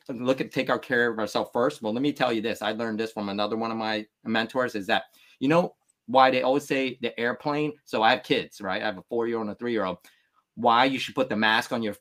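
A man's voice: medium pitch, a bit bright. A man in his thirties, born in Vietnam, talking as a podcast guest in an earnest, emphatic, motivational way. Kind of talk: candid interview talk.

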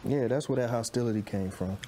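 A man's voice: medium pitch, fast, warm tone, a little hiss or hum. A man in his late thirties chatting casually as a chef being interviewed. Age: late 30s, nearly 40. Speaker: celebrity chef and caterer, guest.

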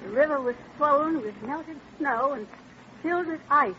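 Pioneer woman looking back on girlhood played by an actress, plain wistful storytelling, high pitch, muffled old radio recording. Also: normal speed, natural voice. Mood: wistful, reminiscing. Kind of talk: old-time radio drama narration. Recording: dull vintage radio recording, faint background noise.

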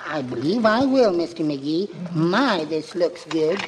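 A meek, henpecked American husband in a vintage radio comedy. He talks timidly and politely in a deadpan way, over clear broadcast noise. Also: fairly high pitch, slow pace. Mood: mild, polite. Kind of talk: 1940s radio comedy acting.

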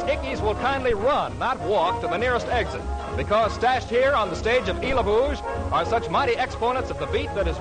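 A man's voice: very high-pitched, rough recording. A man with an American accent announcing a 1940s radio show in theatrical, hip jive style. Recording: vintage 1940s radio, music behind. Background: music.

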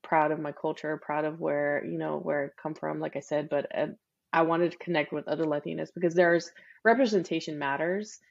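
A woman in her forties from Texas chats freely as a podcast guest, laughing often and trailing into fillers. Her voice sits low with a gravelly fry. The recording sounds slightly dull. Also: steady tone, a little fast. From Texas, USA. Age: 40s. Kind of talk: casual interview chat.

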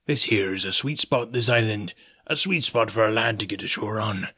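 A male narrator plays an old one-legged pirate from an adventure storybook. He speaks in salty, folksy sailor dialect at a slightly low pitch. His manner is genial on the surface, coaxing and sly. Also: normal speed, pushed and bright, breathy edge. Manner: folksy nautical dialect, ingratiating.

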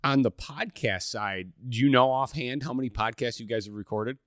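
A man hosting a hunting podcast, chatting in a relaxed way with lots of fillers and restarts. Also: medium pitch, moderate pace, no echo, studio quality. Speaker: podcast host. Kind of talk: casual podcast conversation.

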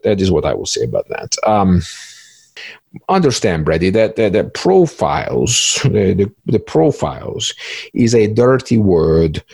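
A man's voice: sits low, vocal fry, darkish tone, husky, dry recording, exaggerated voice. A man playing a cagey, smug cybercriminal in a mock interview, stammering and repeating words with a pompous air. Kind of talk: one-act play interview.

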